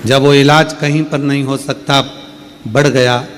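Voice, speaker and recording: A man's voice: mid-range voice, level tone, measured pace, a little hiss or hum. Man preaching with rising emphasis. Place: open-air religious gathering.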